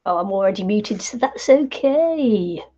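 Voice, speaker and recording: An older woman hosting a crafting live stream from home, croaky from not talking, chatty with lots of fillers and laughs. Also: mid-range voice, animated, a little slow, dark tone. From New Zealand. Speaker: crafting channel host. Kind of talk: casual live stream chat. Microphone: computer mic on live stream. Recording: dull lo-fi stream audio.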